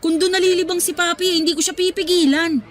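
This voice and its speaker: A woman's voice: very high-pitched, steady tone, fast talker. A woman playing a feisty, cheeky young adult, fiercely loyal to family, in a radio drama. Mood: defiant. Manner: sassy, teasing back-talk. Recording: music underneath.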